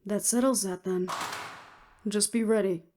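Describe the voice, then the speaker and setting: A woman's voice: mid-range voice, fairly even intonation, unhurried, dark tone, slight background noise, natural voice. A woman playing a cool, determined woman official in an audio roleplay, with clipped, businesslike delivery. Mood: firm, resolute. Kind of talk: scripted audio roleplay acting.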